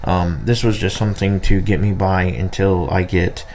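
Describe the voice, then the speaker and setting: Low-voiced man rambling through a review. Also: fairly even intonation, moderate pace, a little mellow. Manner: rambling, hesitant, trailing off. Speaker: radio hobbyist reviewing gear. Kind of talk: casual unscripted product review. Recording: faint background noise.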